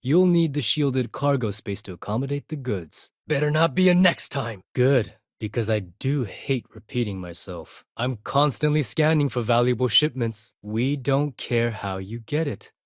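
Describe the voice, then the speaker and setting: A man's voice: medium pitch, dry recording, lightly acted. A man voicing an arrogant space pirate accountant and hacker for a video game, talking with dry, condescending sarcasm in clipped phrases. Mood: curt, dismissive. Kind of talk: scripted video game character dialogue.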